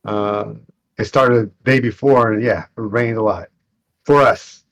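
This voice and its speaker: A man from Northern California chatting on a podcast, relaxed and halting, with many fillers. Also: fairly low pitch, dull audio. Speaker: podcast co-host, executive producer. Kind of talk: casual podcast chat.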